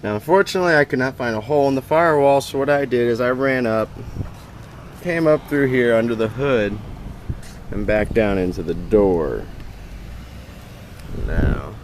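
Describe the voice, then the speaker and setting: A man's voice: mid-range voice, normal speed, pauses often. Casual man explaining, noisy vehicle recording. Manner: informal, plainspoken. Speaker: DIY video host. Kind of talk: casual how-to walkthrough. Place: in and around a pickup truck. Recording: clear background noise, vehicle ambience.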